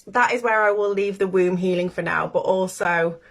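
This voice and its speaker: A woman's voice: medium pitch, normal speed. A woman hosting a casual livestream with a stuffy, congested voice from a cold, chatty and meandering, often breaking into laughs. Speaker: livestream host, spiritual coach.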